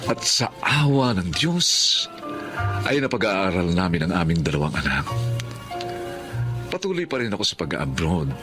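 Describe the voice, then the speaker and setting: A man's voice: sits low, very animated, moderate pace, long pauses. A man playing an earnest, grateful Filipino husband narrating his life in a radio drama. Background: music.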